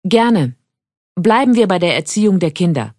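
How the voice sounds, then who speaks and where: An actress in her thirties playing a pharmacist guest on a radio show, speaking clearly and matter-of-factly. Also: fairly low pitch, big pitch swings, moderate pace, darkish tone, no echo, clean studio sound. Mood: earnest. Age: 30s. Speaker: studio guest. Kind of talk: scripted exam dialogue.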